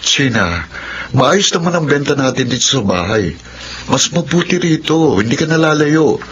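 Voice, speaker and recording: A man's voice: mid-range voice, sing-song, normal speed, dull audio, noisy background, lightly acted. A man playing a mother-dependent husband. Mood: hesitant. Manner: yielding, hesitant. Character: young husband who depends on his mother. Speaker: husband, narrator of his story. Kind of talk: radio drama narration and acting.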